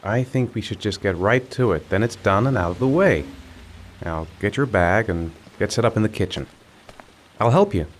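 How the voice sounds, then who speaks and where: A patient, gentle father. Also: lowish voice, sing-song, moderate pace, a little hiss or hum. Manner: soft-spoken, patient, gently firm. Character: gentle patient father caring for his young son. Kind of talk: video game cutscene dialogue.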